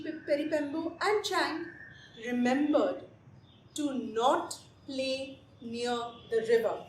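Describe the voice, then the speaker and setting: High-voiced woman storyteller in an echoey room. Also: a little mellow. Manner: repeats phrases for effect, addresses listeners directly. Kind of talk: animated oral folktale retelling for children. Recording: echoey room with background noise.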